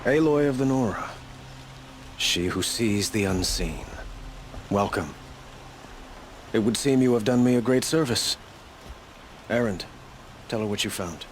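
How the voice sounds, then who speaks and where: A man playing a gracious, solemn king in a video game, speaking formally and deliberately with weighty pauses. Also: on the low side, expressive, slight background noise. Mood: regal. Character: gracious, solemn young male sun king. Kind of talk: video game cutscene acting.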